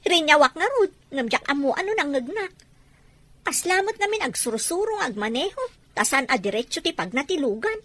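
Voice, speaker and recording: A woman's voice: sits high, very animated, moderate pace, a bit bright. A woman playing a young village fish seller, a dutiful and plain-spoken daughter in a radio drama. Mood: indignant.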